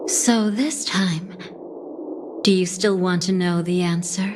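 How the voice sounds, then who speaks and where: Cool, slow-spoken enigmatic woman. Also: fairly low pitch, steady tone, noisy background. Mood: cool, measured. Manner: unhurried, composed, probing. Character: calm, enigmatic woman confidante, cool and probing. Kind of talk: video game story acting.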